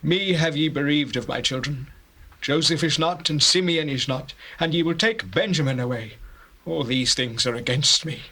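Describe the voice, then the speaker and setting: A man's voice: a little high, moderate pace, sharp tone. An actor playing a grieving, anxious old father in a dramatized scripture, declaiming solemnly in archaic English. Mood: grieving, bitter. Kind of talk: dramatized scripture, stage-like acting.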